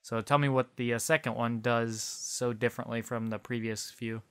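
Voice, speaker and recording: Smooth, even-toned man chatting casually. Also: medium pitch, moderate pace. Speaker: podcast co-host. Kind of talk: casual conversational chat.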